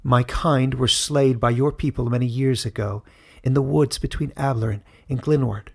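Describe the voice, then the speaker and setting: A man's voice: medium pitch, steady tone, moderate pace, slightly rough. A man voicing a grave, proud talking wolf in a fantasy audiobook, terse and solemn. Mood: grieving. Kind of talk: dramatic fantasy audiobook character reading.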